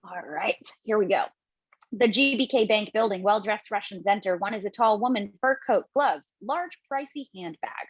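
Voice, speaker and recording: A woman's voice: mid-range voice, moderate pace, slightly rough, dry recording, dull audio. A woman reading stage directions aloud in a table read, plainly, with casual asides.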